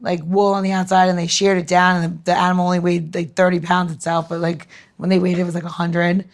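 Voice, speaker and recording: A woman's voice: fairly low pitch, flat delivery, quick pace. A woman co-hosting a podcast, chatting casually in a joking, blunt way with free use of swearing. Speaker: podcast co-host. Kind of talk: casual chat.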